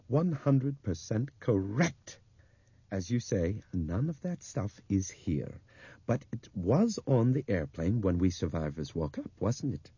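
Audiobook thinker, played by a male narrator: older man, excitable, lecturing, emphatic, widely swinging pitch. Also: takes it slowly, breathy edge, dry recording, muffled recording, full character voice. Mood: approving. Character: excitable older male intellectual, feverishly reasoning out a mystery.

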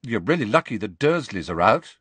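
A man's voice: fairly low pitch, very animated, a little fast, a bit bright, slightly rough, dry recording, exaggerated voice. A man voicing a nervous teenage boy wizard who talks hesitantly in an audiobook. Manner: hesitant, mumbling. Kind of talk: audiobook character voice.

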